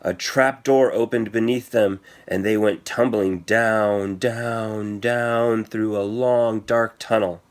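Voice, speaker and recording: A man's voice: lowish voice, steady tone, slow pace, hardly any pauses. A man reading a children's story aloud as scripted narration, with a theatrical, suspenseful delivery. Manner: theatrical, suspenseful delivery with emphatic sound words. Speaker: storybook reader.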